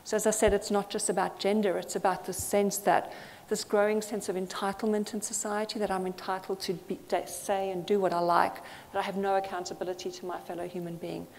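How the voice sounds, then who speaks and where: An earnest woman from Zambia gives a thoughtful conference talk, pausing on small fillers. Her voice sits at a middle pitch. The sound carries a long hall reverb with faint noise behind it. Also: level tone, vocal fry, darkish tone. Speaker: conference speaker.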